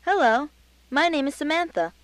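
Actress as curious polite lady caller, really high voice, sing-song range, scripted lines. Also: expressive, normal speed, no echo. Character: polite, curious female radio show caller. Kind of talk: scripted lesson dialogue.

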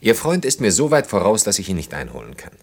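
A man's voice: fairly low pitch, huge pitch swings, quick pace. An actor in a German radio play, playing an earnest, self-reproaching man in his thirties in love, speaking in an intimate, ruminative way. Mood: agitated, arguing. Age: 30s.

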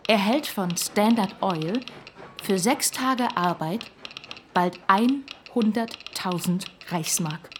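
An actress playing a devoted wife with a measured, deliberate delivery in a radio drama. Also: female voice, medium pitch, a little hiss or hum, natural voice. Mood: measured, proud. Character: Lina, devoted wife of a spendthrift businessman.

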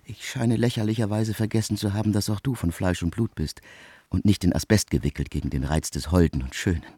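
A formal, probing man in a German radio play. His voice is husky and close in a dry studio. He sets out each phrase deliberately, with cool irony. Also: on the low side, mellow, no room sound. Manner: deliberate, ornate, pointed, ironic diction. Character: cool, probing, formal man asking a friend to woo for him.

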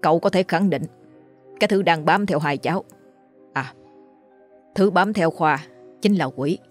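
A woman voicing a grave older uncle versed in exorcism, who speaks in a low, hushed and brisk, solemn way. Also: faint music behind.

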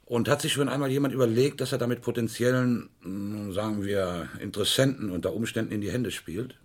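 A man playing a probing, middle-aged police inspector in a German radio crime play, dry and measured in his questioning. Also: lowish voice, lightly acted.

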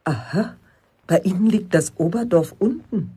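A woman's voice: mid-range voice, moderate pace, dark tone, husky. An actress playing a polite, mildly curious woman visiting from outside, speaking in an understated, musing way in a radio play. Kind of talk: German radio play dialogue.

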